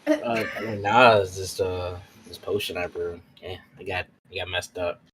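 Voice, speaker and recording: A man's voice: sits low, fairly even intonation, normal speed, background noise. A man playing a tabletop roleplay, chatting casually in character and often repeating his phrases as he improvises.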